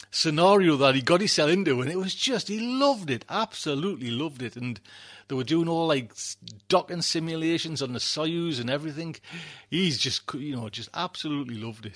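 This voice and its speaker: Higher-pitched man chatting. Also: a bit bright. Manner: effusive, rambling, repeats himself for emphasis. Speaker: podcast host. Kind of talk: casual unscripted chat.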